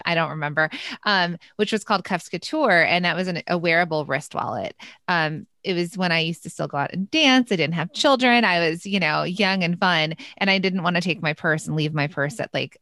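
A woman's voice: sits low, a bit bright, no room sound, studio quality. A woman in her thirties, a podcast co-host talking casually and at length, with frequent fillers. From United States. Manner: chatty, rambling with many fillers. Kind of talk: casual interview chat.

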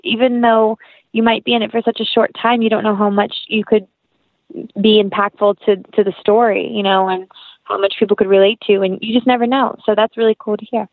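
A young woman with an American accent talks casually as an interview guest. She is earnest and effusive, rambling through her thoughts at a medium pitch. The sound is dry, with little room in it. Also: level tone, fairly quick, no echo, phone-quality sound. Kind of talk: casual interview chat, anecdotal.

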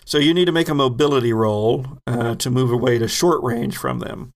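A man's voice: mid-range voice, normal speed, vocal fry. A man narrating as game master of a horror roleplaying game, conversational and descriptive as he improvises.

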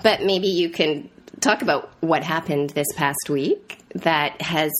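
Middle-aged female podcast co-host, very low-pitched, congested and nasal, playful, faint background noise. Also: slow pace. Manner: playful, teasing. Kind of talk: casual chat.

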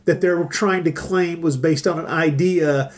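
A man with a slightly high voice hosts a podcast in an informal way. He chats casually and gives his opinions with emphasis. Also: steady tone, measured pace. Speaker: podcast host. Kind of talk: casual chat.

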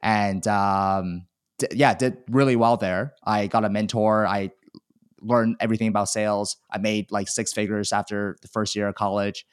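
A man's voice: lowish voice, little pitch movement, moderate pace, smooth, no room sound, clean studio sound. A man in his thirties from China, telling his life story candidly as a podcast guest, self-deprecating and chatty. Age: 30s. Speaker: guest, e-commerce seller. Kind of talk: casual podcast interview.

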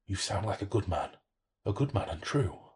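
A man voicing a sly, coaxing spirit with a soft whispery voice and a hidden smile, in a horror story reading. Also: low voice, normal speed, husky. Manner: secretly grinning, insinuating. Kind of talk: horror audiobook-style reading.

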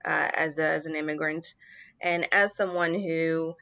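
A woman's voice: low-pitched, steady tone, measured pace, bright, forceful tone. A woman from Morocco, answering earnestly as a political candidate being interviewed.

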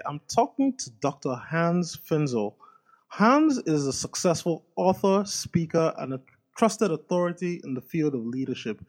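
Male podcast host, lighter pitch, upbeat interviewer delivery. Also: darkish tone. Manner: upbeat, reads sponsor copy then asks questions.

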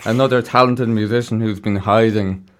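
A man with a fairly low voice and an Irish accent hosts a radio show. He talks casually and informally, like someone chatting with listeners and guests, in an encouraging way. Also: fairly even intonation, moderate pace, slight background noise. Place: local radio studio.

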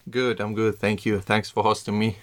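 A man's voice: on the low side, level tone, normal speed. A man answers interview questions conversationally, repeating words as he searches for phrasing. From Iraq, born in Iran. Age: 30s. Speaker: podcast guest.

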